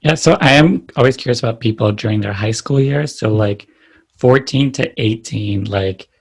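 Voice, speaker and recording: A man hosting a podcast, asking relaxed, curious questions. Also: mid-range voice, normal speed, gravelly, slightly rough. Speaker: podcast host. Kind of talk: casual interview questions.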